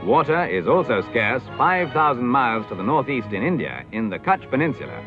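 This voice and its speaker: A British man reading formal, measured, declamatory narration in the style of a 1950s educational film. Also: mid-range voice, big pitch swings. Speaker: documentary narrator. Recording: dull vintage film soundtrack with music. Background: clear background music.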